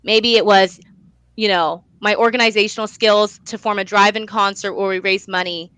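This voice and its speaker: Bright, clear-voiced young woman in conversation. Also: mid-range voice, steady tone, moderate pace, dry recording, rough recording. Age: young adult. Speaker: guest, student actor and playwright. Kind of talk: conversational interview answer.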